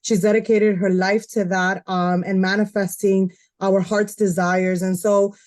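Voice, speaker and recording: A woman's voice: medium pitch, little pitch movement, a little slow, darkish tone. A woman hosting a podcast, introducing her guest in a chatty, giggly way with plenty of filler words. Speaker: podcast host. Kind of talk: casual podcast chat.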